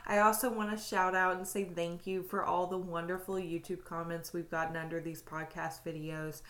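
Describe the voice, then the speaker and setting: Lower-voiced woman cohosting a chatty podcast, blunt, cusses casually. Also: little pitch movement, moderate pace. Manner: blunt, swears casually, warm sign-offs. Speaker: podcast co-host.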